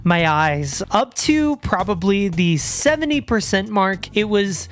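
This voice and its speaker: Excitable, animated male horror host. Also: high-pitched, slow. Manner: excitable, animated, with stammered restarts and loud outbursts. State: losing his voice. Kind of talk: enthusiastic solo video commentary.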